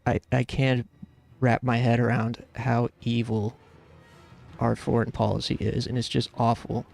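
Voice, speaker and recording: A man's voice: mid-range voice, vocal fry, darkish tone. A man in his thirties from the United States, chatting casually and earnestly with stream viewers, rambling with lots of fillers. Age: 30s.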